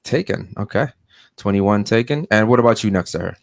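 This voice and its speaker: A man hosting a podcast panel in a casual, laid-back way, often saying "man" and giving shout-outs. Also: lowish voice, expressive, fairly quick, creaky, no echo, studio quality. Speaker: podcast host.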